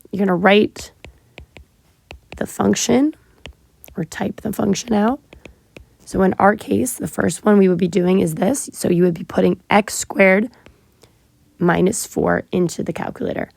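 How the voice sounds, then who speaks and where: A woman teacher, sick and hoarse, patiently walking her students through math homework step by step with frequent ums. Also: medium pitch, fairly even intonation, a little slow, pauses often, darkish tone, no echo, poor audio. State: sick, partly lost voice. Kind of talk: recorded math lesson walkthrough.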